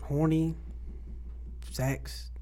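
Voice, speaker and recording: A man's voice: fairly high pitch, level tone, drawn-out words, mellow. A man in his mid twenties joking crudely in casual group banter. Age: mid 20s. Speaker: podcast co-host.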